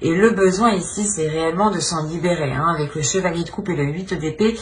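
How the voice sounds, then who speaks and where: Low-pitched woman reading tarot, noisy recording. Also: fast. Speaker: tarot reader, channel host. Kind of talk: improvised tarot reading addressed to the viewer.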